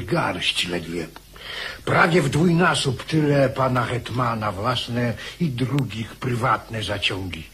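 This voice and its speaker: A man's voice: medium pitch, fairly bright tone. An actor playing an indignant, mocking nobleman soldier in a radio drama, with declamatory delivery.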